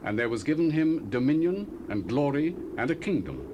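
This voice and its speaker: An actor playing a devout, faithful prophet, declaiming visions solemnly in a 1970s dramatized audio drama, from a hissy cassette tape. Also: male voice, mid-range voice, sing-song, moderate pace, muffled recording, lightly acted. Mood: awed.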